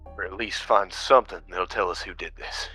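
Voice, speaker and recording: A man playing a loyal, grieving young tavern worker in a podcast drama, halting and impassioned. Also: deep voice, pushed and bright, soft background music, rough recording. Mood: subdued, grieving. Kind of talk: dramatized narrative podcast acting.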